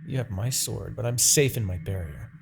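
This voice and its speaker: A man's voice: fairly low pitch, expressive, normal speed, creaky, soft background music. A man playing an imperious, obsessive demon king who is easily exasperated, in a theatrical, brooding fantasy audio roleplay. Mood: wary, reasoning. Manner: theatrical, brooding, swinging between murmurs and outbursts.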